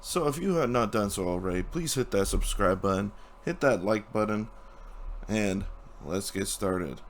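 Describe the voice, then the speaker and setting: A young man who hosts a hockey channel, casually recapping playoff games and reading out stats, his voice hoarse from a cold. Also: fairly low pitch, moderate pace, slight background noise. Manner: casual, reads stats, chuckles at times.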